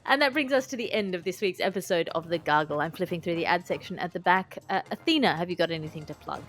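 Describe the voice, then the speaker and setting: A woman's voice: lowish voice, fast, fairly bright tone, soft background music. A woman hosting a comedy panel podcast in a conversational style. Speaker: podcast host.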